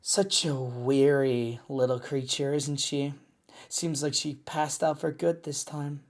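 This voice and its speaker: A woman voicing a cocky, gruff but motherly lycan mercenary in an audio drama, with a teasing, sardonic drawl. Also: extremely low pitch, flat delivery, takes it slowly, exaggerated voice. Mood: softer, caring. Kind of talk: scripted audio roleplay drama.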